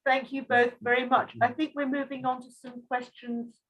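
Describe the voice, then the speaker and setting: A woman with a fairly high voice moderates a literary discussion. She phrases her remarks and questions in a measured, considered way. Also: little pitch movement, dull audio. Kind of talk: hosting a literary panel discussion.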